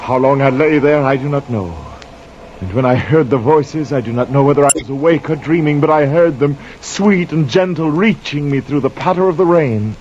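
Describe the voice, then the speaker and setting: A man's voice: on the high side, moderate pace, a little mellow, natural voice. An actor playing a guilt-haunted sailor who narrates his cursed voyage in anguished, poetic radio drama style. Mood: hushed wonder. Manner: theatrical, poetic, anguished declaiming. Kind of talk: 1950s radio drama acting. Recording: muffled vintage radio recording, faint noise, rain.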